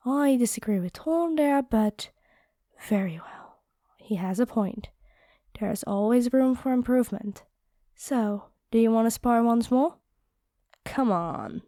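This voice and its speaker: A woman playing a kind, encouraging vampire fighter in a roleplay audio drama, speaking gently and earnestly. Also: on the high side, slow pace, pauses often, dry recording, studio quality. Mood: gentle, playful.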